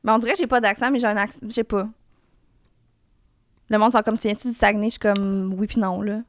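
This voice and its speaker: A young woman from Quebec with a Quebec French accent, a guest in a casual comedic interview who teases in a deadpan way. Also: mid-range voice, quick pace, dry recording. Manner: playful, deadpan teasing.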